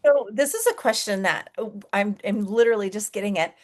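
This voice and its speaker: A woman's voice: mid-range voice, brisk. A woman from the United States hosting a spiritual interview show, talking enthusiastically and gushing about her guest. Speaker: spiritual podcast host. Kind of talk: conversational interview.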